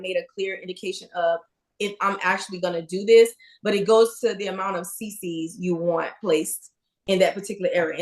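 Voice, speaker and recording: A woman in her forties co-hosting a podcast, talking candidly and casually with many fillers and restarts. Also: medium pitch. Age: 40s. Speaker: podcast co-host, registered nurse. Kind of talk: casual chat.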